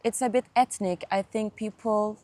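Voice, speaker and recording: A young woman from Turkey, whose first language is Turkish, chatting as a guest musician in an interview, laughing often and pausing with fillers. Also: mid-range voice, fairly even intonation, mellow, no echo. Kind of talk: casual interview chat.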